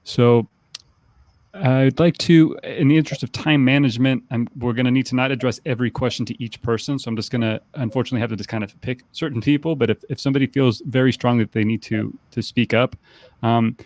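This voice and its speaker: A man's voice: mid-range voice, fairly even intonation, fast talker, a little mellow, dry recording. A man co-hosting a podcast panel, moderating with careful, wordy questions. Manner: careful, wordy, managing time.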